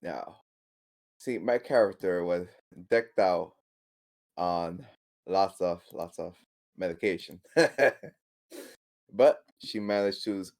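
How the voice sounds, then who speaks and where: A man with a low voice speaks slowly as a player in a tabletop game. He casually recounts his character's part of the session. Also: a little mellow. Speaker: player in an online tabletop RPG.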